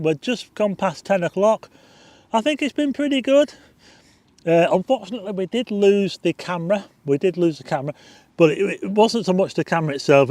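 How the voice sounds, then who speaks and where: A Northern English man hosting a live stargazing stream outdoors at night, chatting casually, reading out viewer names for a quiz, his voice a little croaky. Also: high-pitched, a little mellow, dry recording. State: slightly croaky. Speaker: astronomy livestream host.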